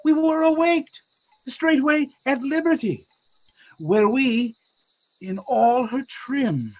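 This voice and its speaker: Muffled, husky man as an excitable sailor. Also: extremely high pitch, exaggerated voice. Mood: bewildered, excited. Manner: halting, excitable. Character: flustered, amazed ship's boatswain. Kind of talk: Shakespearean radio play acting.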